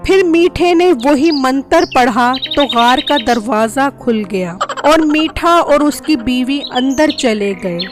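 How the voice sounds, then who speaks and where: A woman's voice: high voice, lively intonation, slow, few pauses, over music. A woman narrating a scripted children's moral story.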